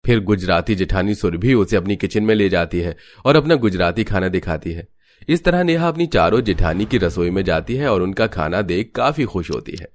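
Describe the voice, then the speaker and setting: A man's voice: low-pitched, lively intonation, normal speed. A man reading scripted narration for a cartoon story.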